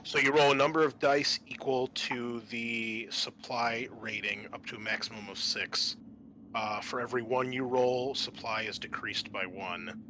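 A man with a mid-pitched voice works as game master of a tabletop roleplaying game. He narrates and explains rules in a hesitant, think-aloud way. Clear background noise runs behind him. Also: fairly even intonation, measured pace, sharp tone, low-quality recording.

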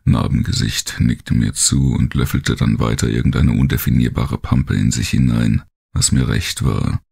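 A man narrating a first-person thriller audiobook in a gravelly, husky voice, with brooding, deliberate and dramatic phrasing. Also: very deep voice, fairly even intonation, darkish tone.

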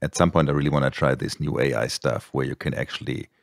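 A man's voice: very deep voice, fairly even intonation, quick pace, vocal fry, dry recording, clean studio sound. A middle-aged man hosting a podcast over a video call, joking and laughing often as he rambles through his points. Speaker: podcast host. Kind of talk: casual chat on a video call. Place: home studio. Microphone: studio mic on a boom.

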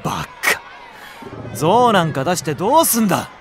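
A man's voice: high-pitched, big pitch swings, moderate pace, loud music behind the voice. An actor playing a cynical, lazy young male nursery teacher in an audio drama, with a flippant, teasing delivery. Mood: scolding. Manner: flippant, sardonic. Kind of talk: Japanese audio drama acting.

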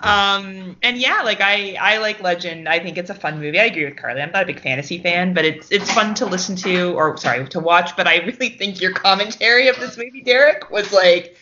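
Podcast guest, female, bright and lowish voice, casual chat, slightly noisy lo-fi recording. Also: fairly quick, hardly any pauses. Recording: lo-fi, noisy audio with clicks.

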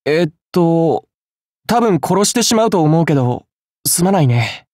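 A man voicing a young Greek hero swordsman, gentle and dutiful yet hesitant, in anime game battle lines, with a breathy voice. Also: on the high side, big pitch swings, unhurried, dry recording, clean studio sound. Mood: hesitant, calm. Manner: soft-spoken, polite, turning to fervent battle cries.

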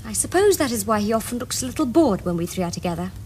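A young upper-class English girl in a period comedy. She is sweetly artful and earnest, with a fairly high voice that rises and falls freely and crisp enunciation. The recording carries some background noise. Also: very animated, fast, darkish tone. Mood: earnest, airy. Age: young adult. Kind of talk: period comedy screen acting.

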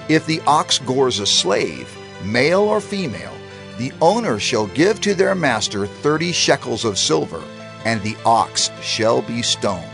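Man giving a lively, solemn scripture reading. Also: mid-range voice, creaky. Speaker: scripture reader.

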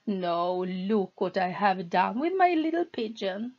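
A woman's voice: medium pitch, sing-song, slow pace, full character voice. A woman voicing a controlling, volatile husband who talks down to his wife in a sweet-talking way, on a dull lo-fi recording. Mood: coaxing.

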